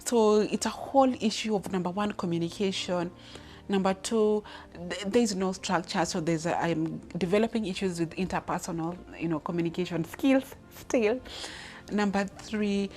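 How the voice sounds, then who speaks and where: A Kenyan woman in her thirties speaking off the cuff about her career, with many restarts and fillers. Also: fairly low pitch. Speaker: leadership speaker. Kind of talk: personal story, unscripted talk.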